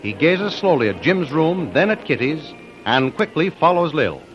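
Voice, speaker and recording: A man's voice: fairly high pitch, big pitch swings, moderate pace. A man narrating and announcing a 1930s radio serial in a dramatic, declamatory style. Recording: dull vintage radio recording. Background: music.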